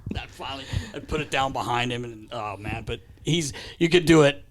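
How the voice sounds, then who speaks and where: A man's voice: medium pitch, brisk. A man co-hosting a podcast, telling stories in a loose, slangy, repetitive way in casual chat. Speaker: podcast co-host. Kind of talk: casual chat, animated storytelling. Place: podcast studio.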